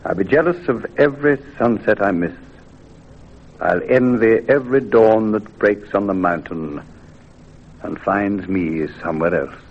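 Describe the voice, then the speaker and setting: A man's voice: fairly low pitch, measured pace. An actor playing a weary, wistful pioneer husband, earnest about his work, in an old-time radio drama. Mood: wistful, resigned. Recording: thin, phone-like vintage radio sound.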